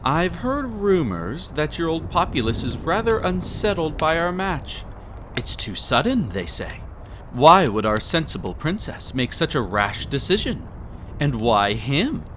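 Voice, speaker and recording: A man's voice: on the high side, very animated, unhurried, fairly bright tone, slight background noise. A man playing a possessive, smug prince in a romantic audio roleplay, with a silky, condescending, theatrical delivery. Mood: smug, doting. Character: possessive, smug young prince with hypnotic magic.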